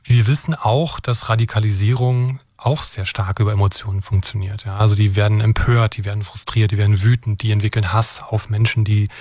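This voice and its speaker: A middle-aged man from eastern Germany answers questions at a quick clip, sounding earnest and fluent. His voice sits fairly low and comes across dry and close to the mic. He keeps tagging his points with little checks on the listener. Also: a little mellow, no room sound. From Brandenburg, Germany. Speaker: guest expert on extremism and deradicalization. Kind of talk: explanatory interview answers.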